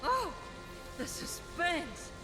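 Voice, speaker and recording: A grandiose game villain in a long, booming reverb. The delivery is theatrical and mock-sweet, toying and sneering. Clear music plays behind. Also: female voice, sing-song, drawn-out words, heavily acted. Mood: mock admiration.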